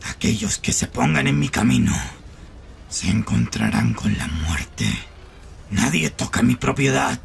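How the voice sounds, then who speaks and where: Man playing young male anime villain, very gravelly, possessive, menacing, lively pitch. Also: a little hiss or hum, exaggerated voice. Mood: sinister, possessive. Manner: menacing, gloating.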